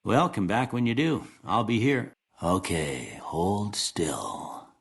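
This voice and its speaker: A man playing a kindly, folksy old frontier doctor in a video game, with a husky voice and an unhurried American Western drawl. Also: lowish voice, very animated, no echo, clean studio sound, exaggerated voice. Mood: plain, easygoing. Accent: American Western or Southern drawl. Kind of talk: scripted game dialogue.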